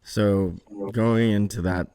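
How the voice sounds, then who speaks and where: Male interviewer for a casual podcast. Very low register, dry studio-like sound, conversational delivery. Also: fairly even intonation, takes it slowly, warm tone, clear, resonant tone, no room sound.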